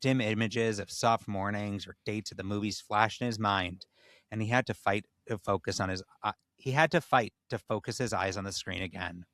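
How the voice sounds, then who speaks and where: A man's voice: fairly low pitch, fairly even intonation, normal speed, a bit bright, no echo, studio quality. A man hosting a comedy podcast, reading a story aloud in a mock-solemn tone and breaking into casual banter.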